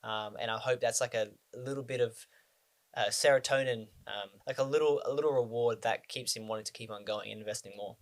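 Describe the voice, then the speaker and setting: A man's voice: medium pitch, fairly even intonation, a little fast. A man in his twenties from Australia, talking casually to the camera about personal finance, rambling with frequent ums and restarts. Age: 20s.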